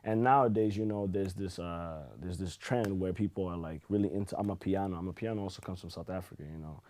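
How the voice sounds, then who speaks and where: Deep-voiced man, laid back. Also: quick pace. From Zimbabwe. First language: Shona. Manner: relaxed storytelling, full of "you know" and "like". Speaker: podcast guest. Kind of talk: casual chat.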